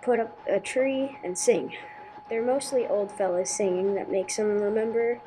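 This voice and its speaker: A woman's voice: medium pitch, moderate pace, noisy background, lightly acted. A woman playing a male clerk at a shabby hotel in an amateur radio drama, casual and clipped. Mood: laconic, casual. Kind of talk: 1950s radio drama acting, amateur church production.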